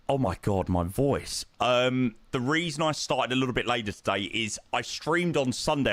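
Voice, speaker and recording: A man with a hoarse, croaky voice, chatting casually to his stream audience and rambling with lots of ums. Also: lowish voice, a bit bright, no room sound. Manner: rambling, self-interrupting, frequent ums. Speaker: flight sim streamer.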